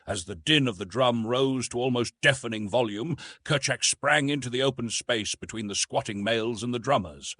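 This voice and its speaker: A man narrating a classic adventure novel as an audiobook, in a theatrical, measured and emphatic way. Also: medium pitch, pushed and bright, no room sound, studio quality.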